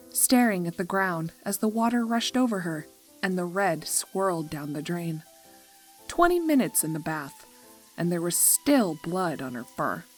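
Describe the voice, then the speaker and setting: A woman narrating a scripted dark comedy story in a dry storytelling manner. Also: lowish voice. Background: music.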